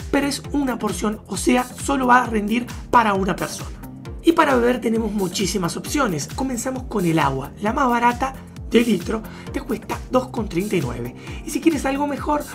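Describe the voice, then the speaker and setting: A woman narrating a travel vlog voice-over, briskly listing supermarket prices one after another. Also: a little high. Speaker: travel vlogger. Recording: voice-over with music underneath.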